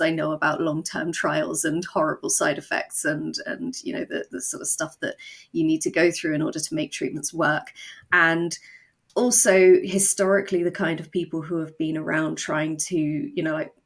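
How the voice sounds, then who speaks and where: A woman's voice: low-pitched, little pitch movement, moderate pace, husky. A woman talking as an interview guest about her creative work, explaining conversationally with wry, dry humour. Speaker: guest, audio drama co-creator.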